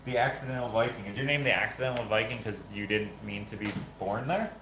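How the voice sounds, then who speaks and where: A man's voice: lowish voice, fast. Rambling man in an echoey workshop. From Canada. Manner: rambling, self-correcting, chatty with viewers. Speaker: host of a live build stream. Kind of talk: casual chat while working. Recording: echoey workshop with loud background noise.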